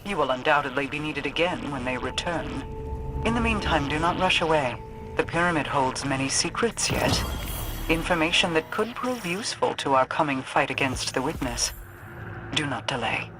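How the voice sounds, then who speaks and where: An actor playing a formal, stern commander who gives orders in measured speech. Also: male voice, a little high, animated, over music, lightly acted, rough recording. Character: commanding, formal male ally giving orders.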